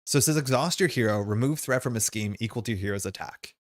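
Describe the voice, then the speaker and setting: A man's voice: medium pitch, fast, dry recording. A man giving casual live stream commentary as he plays a card game, rambling and thinking aloud. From Canada.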